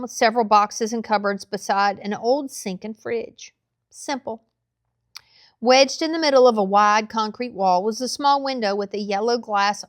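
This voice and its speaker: A woman narrating a children's novel aloud in a measured, expressive storytelling style. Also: fairly high pitch, no echo, clean studio sound.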